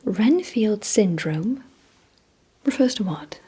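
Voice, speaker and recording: Female ASMR host, vampire roleplay: breathy whisper, mellow tone, deliberate and gently instructing delivery. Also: medium pitch, lively intonation. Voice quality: breathy, mostly unvoiced whisper.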